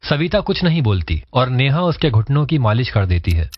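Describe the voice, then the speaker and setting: A man's voice: medium pitch, big pitch swings, moderate pace. Male story narrator, dull lo-fi sound. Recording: dull, lo-fi voice track.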